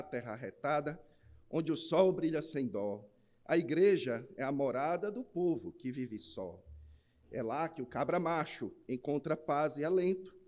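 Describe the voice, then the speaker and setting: A man with a Northeastern Brazilian accent preaching in church, opening with a jokey rhymed verse before an earnest sermon. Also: fairly high pitch. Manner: jokey rhyming recitation, then steady earnest preaching. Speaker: pastor. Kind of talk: sermon with a humorous rhymed recitation.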